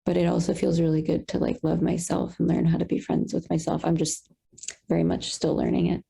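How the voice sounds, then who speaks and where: A young woman speaks on a video call with a low-set, gravelly voice. Her tone is dark and husky, and she talks in a halting, reflective way full of small fillers. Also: flat delivery. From Colombian roots. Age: young adult. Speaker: satsang participant. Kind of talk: casual sharing on a video call.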